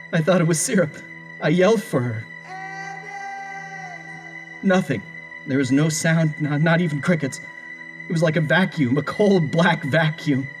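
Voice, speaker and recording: A man acts out a distraught young husband being questioned over a killing in an audio horror drama. His voice sits fairly high, halting and stammering as he pleads. Music plays faintly behind him. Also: natural voice, poor audio. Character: distraught young husband under interrogation for murder.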